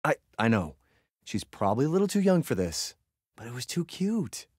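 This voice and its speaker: An actor playing a gentle, affectionate father musing fondly about his baby daughter in a video game. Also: mid-range voice, big pitch swings, normal speed, breathy edge, long reverb tail. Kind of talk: reflective video game voice acting.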